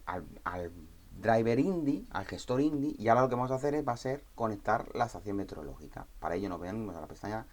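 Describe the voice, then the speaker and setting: A man presenting an informal step-by-step software tutorial in a conversational way, often checking understanding with short tag questions. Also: lowish voice.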